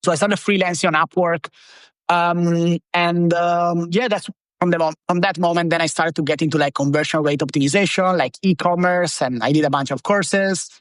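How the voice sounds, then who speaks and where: A man's voice: fairly high pitch, fairly even intonation, moderate pace, smooth, no room sound, studio quality. A man in his thirties from Italy with an Italian accent, chatting as a podcast guest, loose and rambling with lots of fillers. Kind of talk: casual interview chat.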